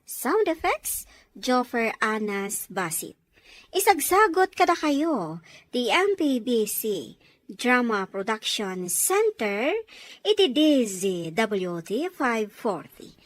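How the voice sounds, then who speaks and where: A woman's voice: on the high side, very animated, takes it slowly, no echo. A woman narrating and announcing a radio drama in a scripted style.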